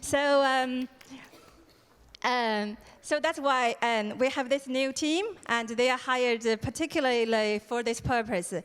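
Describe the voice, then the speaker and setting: A woman's voice: sits high, takes it slowly, fairly bright tone, smooth. A woman organizing a program, giving an opening ceremony address with frequent hesitations and fillers. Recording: hall reverb.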